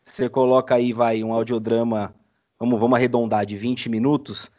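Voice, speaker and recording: A man's voice: medium pitch, flat delivery, normal speed, a little mellow. A man speaking as a guest in a casual interview, explaining things informally. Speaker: podcast guest, audio drama creator.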